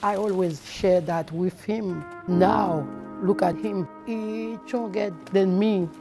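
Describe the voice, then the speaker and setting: Slow-speaking Haitian woman. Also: medium pitch, fairly even intonation, gravelly. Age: middle-aged. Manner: earnest, non-native English phrasing. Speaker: documentary interviewee, mother. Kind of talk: personal testimony in a documentary interview. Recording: music under the voice. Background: instrumental music.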